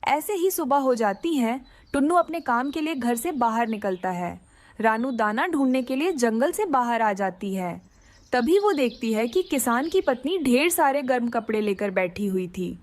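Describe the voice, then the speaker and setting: Lady narrator for kids cartoon story, high pitched, really animated reading. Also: moderate pace.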